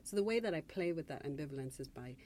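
A woman novelist from Zambia speaks in a low voice. She is measured and reflective and restarts her phrases as she builds her thoughts. The panel is recorded with faint background noise. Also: animated, darkish tone. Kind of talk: panel discussion.

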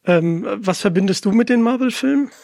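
A podcast host with a medium-pitched voice, chatting casually with a guest. The sound is dry, with no room echo, and the questions come in an informal conversational style. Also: brisk, a little mellow. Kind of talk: casual interview chat.

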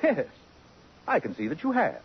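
An actor playing a haughty, sarcastic society gentleman with elaborate, pompous diction in a 1950s radio drama. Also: on the high side, wildly swooping pitch, moderate pace, a little hiss or hum. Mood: mocking. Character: haughty, possessive, acid-tongued society gentleman, rival of a detective. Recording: muffled 1950s radio recording.

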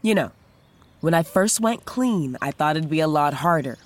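A woman's voice: sits low, animated, moderate pace, no echo. Woman playing a brash, swooping-voiced young woman. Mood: breezy, boastful. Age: 20s. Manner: profane, rambling, emphatic. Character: cheerful, brash young woman newly sober, self-deluding. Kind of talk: audio drama monologue.

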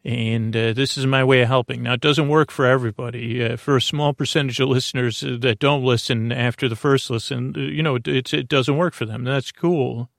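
A man's voice: mid-range voice, steady tone, brisk, vocal fry, hardly any pauses, fairly bright tone, no room sound, studio quality. A middle-aged man hosting a sleep podcast, talking in a meandering, hesitant bedtime monologue full of uhs. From Bay Area, California.

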